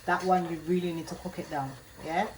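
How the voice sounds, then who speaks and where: Woman with a Jamaican lilt, low-pitched. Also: steady tone, brisk, a little mellow, a little hiss or hum, low-quality recording. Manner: chatty, adds "yeah?" after most phrases. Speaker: home cook. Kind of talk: casual cooking tutorial. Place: home kitchen.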